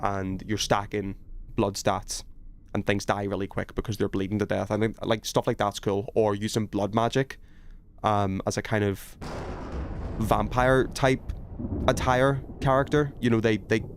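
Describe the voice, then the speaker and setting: A man's voice: on the low side, level tone, a little slow, a little hiss or hum. A man chatting casually as a game streamer, rambling in a self-effacing, sweary way. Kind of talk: casual chat while streaming gameplay.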